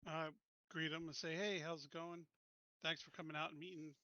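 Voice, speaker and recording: A man with a bright, clear voice sitting a little high chats as a player in a tabletop game. He speaks informally and haltingly as he describes his moves. The recording is dry and a touch muffled. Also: little pitch movement, dry recording. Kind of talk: casual chat and in-character description.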